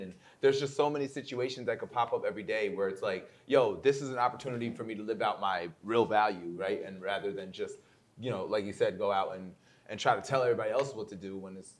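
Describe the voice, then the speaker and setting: A man with an American accent telling his story casually as a guest, often checking in with short tag questions. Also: lowish voice. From born in Germany, grew up in upstate New York. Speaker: interview guest, poet. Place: indoor venue live event. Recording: roomy echo of a live venue.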